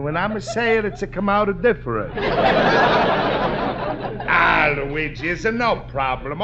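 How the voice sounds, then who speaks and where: An actor playing a boisterous, scheming Italian immigrant, loud and bossy in broken English with an Italian accent, in a 1950s radio sitcom. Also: male voice, high-pitched, close to an everyday voice. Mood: scolding, then smug. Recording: muffled, noisy old radio recording.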